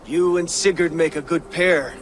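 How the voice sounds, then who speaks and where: An actor playing a Viking warrior who earnestly offers friendship. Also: male voice, on the high side, fairly even intonation, normal speed, close to an everyday voice. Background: music.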